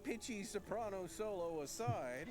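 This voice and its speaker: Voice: medium pitch, measured pace, lightly acted. An actor voicing a worried townsperson pleading for help. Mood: anxious. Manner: pleading, lively. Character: worried townsperson guarding a street. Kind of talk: game voice-over dialogue. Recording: game audio with background music.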